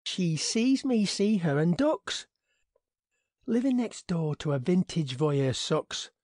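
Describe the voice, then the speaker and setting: An actor plays a young bachelor at the end of his patience. His voice sits a little high for a man, and he gripes with sardonic sarcasm in an audio drama monologue. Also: lively intonation, slow, darkish tone, no room sound, clean studio sound, exaggerated voice. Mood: irritated, sardonic. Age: young adult. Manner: sardonic, griping.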